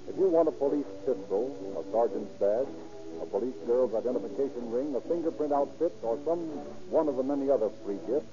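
A man announcing and narrating in an emphatic, formal 1930s radio style, reading from a script. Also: mid-range voice. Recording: dull vintage radio broadcast, music behind. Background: music.

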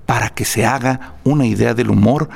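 A man's voice: medium pitch, moderate pace, gravelly, a little mellow, slightly rough. A man presenting spoken program notes before a classical concert, reading prepared text with fillers and restarts.

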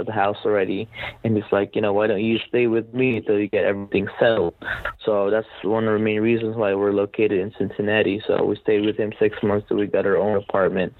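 A man in his twenties from the Philippines, a guest telling his story in a relaxed, rambling way with many fillers. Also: lowish voice. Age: 20s. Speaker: podcast guest, reseller. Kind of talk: casual interview talk. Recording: tinny phone-like line, faint noise.

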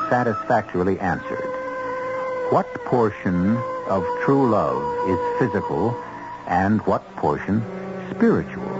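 Male drama host, lowish voice, animated and portentous narration over music, old radio. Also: highs cut off. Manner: portentous, deliberate.

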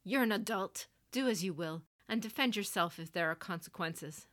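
A woman's voice: medium pitch, expressive, moderate pace, a bit bright, close to an everyday voice. A woman plays an older samurai matriarch and general in an audio drama story. She is stern and severe, speaking in blunt, clipped statements with firm command and formal gravity. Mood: curt, snapping.

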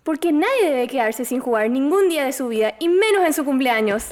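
A woman acts out a mysterious child visitor from the moon for an audio drama. The girl's voice is high, gentle and playful, with an otherworldly innocence. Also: animated, fairly quick, slight background noise.

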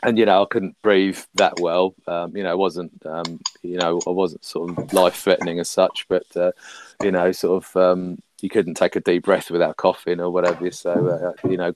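A man with a deep, husky voice talks in a loose, rambling way, full of "you know" and restarts. His voice is still rough from being ill. A faint hiss and some clicks sit under the remote call. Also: level tone, moderate pace, darkish tone. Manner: rambling, many fillers and restarts. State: husky from recent illness. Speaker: podcast guest. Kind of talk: casual chat.

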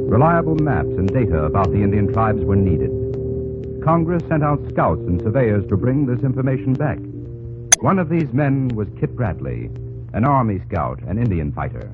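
Male radio-drama narrator: low, sweeping, solemn delivery over loud music on a muffled vintage recording. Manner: solemn, declamatory.

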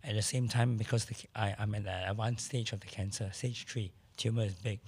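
A middle-aged man with a hoarse voice, speaking candidly as a podcast guest in casual conversation. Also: fairly low pitch, fairly even intonation, a little fast, a little mellow. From Malaysia. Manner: candid, matter-of-fact, occasional restarts.

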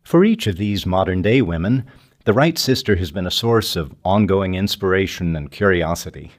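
An older man narrating a scripted documentary podcast as its host. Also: sits low. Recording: music behind the voice. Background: music.

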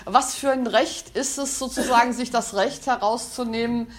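A woman giving a thoughtful spoken talk, often pausing with fillers and restarting her sentences. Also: a little high. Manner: hesitant, many fillers and restarts. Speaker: speaker on language and society.

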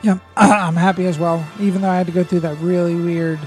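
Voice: medium pitch, level tone, fairly quick, a little mellow, faint music behind. Laid-back podcast co-host. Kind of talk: casual chat.

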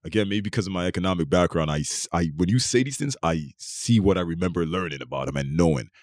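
Low-voiced argumentative man. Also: animated, fast talker, a little mellow, dry recording, studio quality. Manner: long-winded, argumentative, restarts and repeats phrases. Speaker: podcast host. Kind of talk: casual podcast debate.

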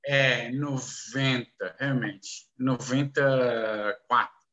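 A man speaking slow, halting, broken Portuguese as a non-native speaker, answering interview questions with many restarts. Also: medium pitch, steady tone, bright, forceful tone, dull audio. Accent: foreign, non-native Portuguese. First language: not Portuguese. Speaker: interviewee, businessman.

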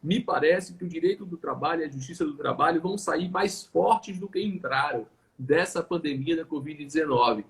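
A labor judge from Salvador, a man with a voice pitched a little above most men's. He talks in a reflective, conversational way in a live online chat. He sometimes stops and restarts his phrases. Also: normal speed, low-quality recording. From Salvador, Bahia, Brazil.

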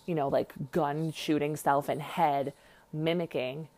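A woman with a low voice and a slight lisp talks as a podcast host. She rambles casually, putting herself down with dry sarcasm and speaking bluntly. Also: fairly even intonation, unhurried, a little mellow. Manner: rambling, self-deprecating, sarcastic, blunt with swearing. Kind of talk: casual true crime podcast monologue.

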